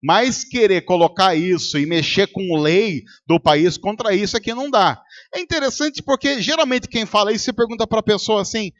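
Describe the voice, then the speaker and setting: High-voiced Brazilian pastor preaching. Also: male voice, moderate pace, a bit bright, dull audio. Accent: Brazilian Portuguese. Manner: rhetorical, quizzes the congregation and acts out arguments. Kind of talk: sermon. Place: church service.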